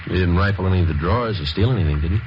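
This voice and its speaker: Man as deep, fast deadpan radio detective. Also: noisy background, natural voice. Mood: matter-of-fact. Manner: deadpan, matter-of-fact. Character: terse, businesslike police detective narrating a case. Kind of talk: 1950s radio drama, clipped narration and dialogue. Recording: dull vintage radio recording, music.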